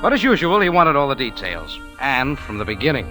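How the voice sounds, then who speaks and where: Hard-boiled radio detective with music behind. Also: male voice, mid-range voice, big pitch swings, brisk, pushed and bright. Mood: wry. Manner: clipped, dry, hard-boiled storytelling. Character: tough, wry cafe owner turned amateur sleuth, a man. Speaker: lead actor and first-person narrator in a radio drama.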